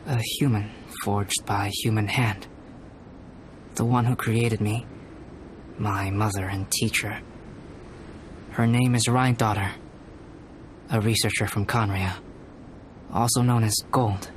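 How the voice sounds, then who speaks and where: An actor playing a calm, scholarly young male alchemist in a video game, speaking in a measured, formal, reflective way. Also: on the low side, slight background noise. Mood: composed, matter-of-fact. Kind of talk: video game character dialogue.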